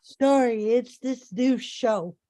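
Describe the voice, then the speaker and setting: A woman's voice: on the high side, takes it slowly, warm tone. A woman playing minor supporting characters in a drama script read. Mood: apologetic. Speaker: voice actor in a table read.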